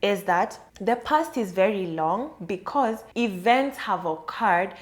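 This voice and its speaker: A woman talks alone on her podcast, working through an idea in an explanatory, conversational manner. Her voice sits at a middling pitch for a woman, and her tone is thoughtful and easygoing. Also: lively intonation, takes it slowly. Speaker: podcast host. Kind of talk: solo reflective podcast monologue.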